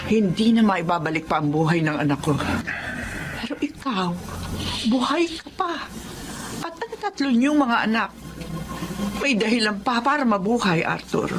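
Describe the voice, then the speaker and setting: A woman's voice: on the high side, big pitch swings, normal speed, pauses often, background noise. A woman with a Filipino accent playing a stern but caring older mother-in-law in a radio drama, scolding and earnest. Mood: earnest urging. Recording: music underneath.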